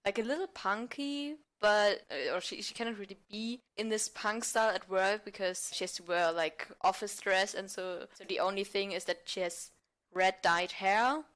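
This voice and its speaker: A woman with a medium-pitched voice talks as a podcast guest. She is an amateur actor chatting casually in an interview. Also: moderate pace, fairly bright tone.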